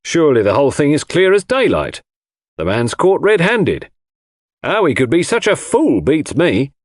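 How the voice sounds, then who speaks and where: A male reader plays a police superintendent in a detective audiobook. He sounds blunt, sceptical and dismissive, with lively intonation in a dry recording. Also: fairly high pitch, moderate pace, no echo, pitched above the performer's own voice.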